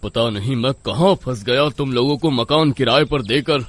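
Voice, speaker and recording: Man playing a grumbling mid-pitched village man. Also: moderate pace, lightly acted. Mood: exasperated. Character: exasperated, grudging village landlord.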